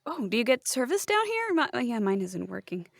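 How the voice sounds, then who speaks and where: A woman improvising in a comedy audio drama, halting and theatrically polite. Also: a little high, sing-song, vocal fry. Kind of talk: improvised comic banter.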